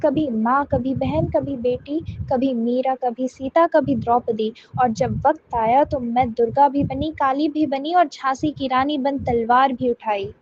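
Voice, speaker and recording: A woman's voice: high voice, measured pace, hardly any pauses, darkish tone. A woman reciting a dramatic poem in an emotional, impassioned, declamatory way. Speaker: poetry reciter. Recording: dull lo-fi sound with faint noise.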